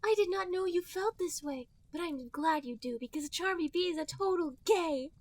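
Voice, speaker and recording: A woman's voice: very high voice, normal speed, darkish tone, dry recording. A woman voicing a sweet, frank young cartoon rabbit girl in an exaggerated girlish way for a mock-dramatic reading. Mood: eager. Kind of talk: mock-dramatic fan fiction dialogue reading.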